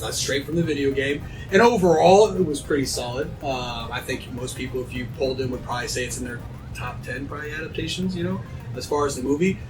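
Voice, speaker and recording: A man chatting casually on a panel, telling things in an animated way and acting out imagined quotes. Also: fairly high pitch, recorded from a distance, rough recording. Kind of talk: casual chat.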